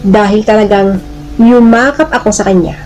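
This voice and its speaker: A woman narrating a dramatic first-person story in a deliberate, emotive manner. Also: mid-range voice, darkish tone, poor audio. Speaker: narrator of a listener's letter drama. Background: music.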